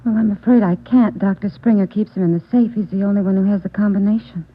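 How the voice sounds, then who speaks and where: Actress as office nurse, American woman, medium pitch, courteous and a little unsure, thin vintage sound. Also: quick pace, natural voice. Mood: puzzled, helpful. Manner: courteous, hesitant. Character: polite, slightly hesitant female doctor's office nurse. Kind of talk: 1940s-50s radio drama acting. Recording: tinny old radio recording.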